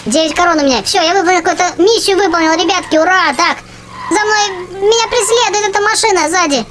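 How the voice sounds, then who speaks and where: A youthful-sounding gaming host giving excited, chatty gameplay commentary, often exclaiming and repeating phrases. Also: very high-pitched, quick pace, noisy background. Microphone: voice-over on game footage.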